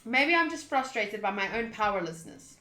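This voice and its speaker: Bright-voiced woman streamer in a roomy space. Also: a little high, very animated, normal speed. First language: Afrikaans. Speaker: streamer playing a visual novel. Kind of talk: casual livestream chat and reading game text aloud. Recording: roomy sound with music underneath. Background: game music.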